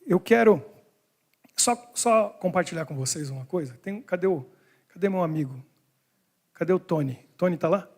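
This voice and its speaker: A man's voice: sits high, lively intonation, moderate pace, pauses often, darkish tone. A man preaching a sermon as pastor, talking to his congregation in a conversational way. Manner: conversational, talks to the congregation directly. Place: church hall in Brussels.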